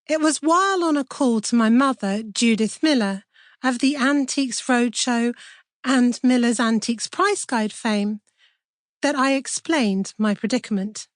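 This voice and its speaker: A woman's voice: high voice, no room sound, studio quality. A middle-aged woman with a British accent reading a scripted personal introduction as an author. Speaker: author introducing own audiobook.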